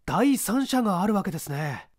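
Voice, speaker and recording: A man reading a mystery aloud, voicing an earnest young detective who asks polite, crisp questions. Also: sits high, sing-song, moderate pace, no echo, studio quality.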